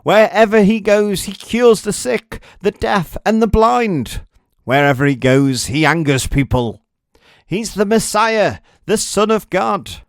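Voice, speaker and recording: A loud, excitable townsperson played by a male narrator calls out eagerly from an ancient crowd. The voice is pushed high and strong, recorded dry, in storybook style. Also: takes it slowly, no echo, exaggerated voice. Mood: excited gossip. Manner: calling out eagerly.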